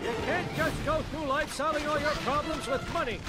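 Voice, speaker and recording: A man's voice: very high voice, moderate pace. A man playing a gung-ho, dim-witted army sergeant in a comedy cartoon game, with folksy barked military bluster. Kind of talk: animated comedy video game acting. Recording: loud music behind the voice.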